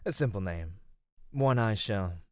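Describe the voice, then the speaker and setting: A man plays an immortal god of radiance, soft-spoken and courtly, for an ASMR roleplay. The voice sits low and swells and dips expressively, tender and a little flirty. It is recorded dry and intimate. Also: animated, a little slow, clean tone, no room sound. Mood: regal, tender. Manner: soft, formal, tender speech.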